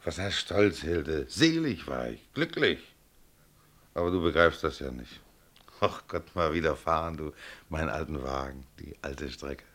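An actor playing a proud, sentimental older tram driver who chats affectionately, in a German radio play. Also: male voice, lively intonation, measured pace, fairly bright tone, full character voice. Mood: wistful, stubborn. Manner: chatty, affectionate, sentimental muttering to himself. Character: proud, wistful old ex-tram driver, stubbornly in love with his tram. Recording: clearly noisy, tram rumble behind the voice. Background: vehicle and ambience noise.

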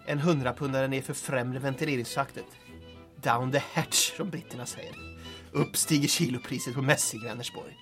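An actor playing a wry, loyal but cautious sergeant sidekick in old-time radio drama style. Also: male voice, medium pitch, huge pitch swings, fairly bright tone, soft background music. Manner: dry, wry, understated.

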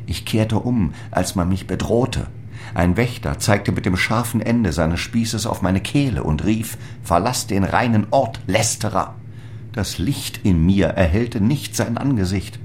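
Man playing a very deep-voiced solemn apostle. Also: a little slow, a little hiss or hum, lightly acted. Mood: bitter, embattled. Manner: grave, incantatory, declamatory. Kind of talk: literary radio drama monologue.

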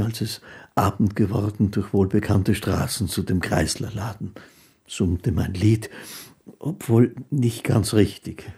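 An actor playing a meek, dreamy poor musician, telling his life haltingly and earnestly in a radio play. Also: fairly low pitch, vocal fry, mellow, slightly rough, exaggerated voice. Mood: reflective, quietly proud. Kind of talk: first-person radio play narration.

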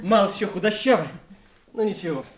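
An actor playing a brash, jovial, boorish country fiancé in a radio play, with a coarse, boastful manner. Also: male voice, high voice, fast talker, crowd noise, close to an everyday voice. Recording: echoey room.

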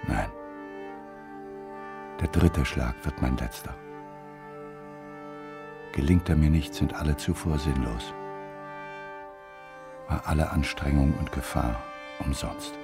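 Very deep, gravelly brooding killer. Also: male voice, full character voice. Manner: slow, halting inner monologue. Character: obsessed killer, grave brooding man feeling death approach. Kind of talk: radio drama monologue. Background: cello and string music.

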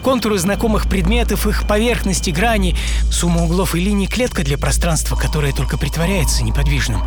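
Radio drama: man playing a blind, reflective inventor telling his life with gentle irony; slightly high register, expressive pacing, music underneath. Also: lightly acted. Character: blind French inventor recalling his childhood, wry and reflective. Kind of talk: dramatic first-person monologue for radio audio play.

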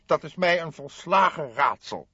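A man's voice: a little high, animated, moderate pace, pushed and bright, breathy edge, no room sound, muffled recording, voice pushed up, exaggerated voice. A man voicing a stout, indignant notary in an audiobook, flustered and stammering with pompous formal wording. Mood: hesitant, formal. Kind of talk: audiobook character voice.

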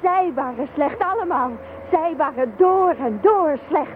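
A woman's voice: high voice, lively intonation, a little slow. An actress playing a nervous but defiant young fugitive woman in a radio drama. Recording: tinny, band-limited, hissy radio play recording.

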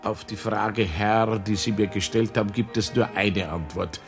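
A man's voice: fairly low pitch, moderate pace, faint music behind, close to an everyday voice. A man playing a solemn, famous male psychiatrist who speaks formally in a radio play. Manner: formal and pronouncing. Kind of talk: radio drama acting.

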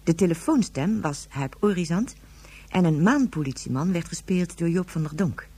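A woman's voice: on the low side, lively intonation, normal speed, mellow, breathy edge, no echo. A woman announcer formally reading scripted credits for a radio drama.

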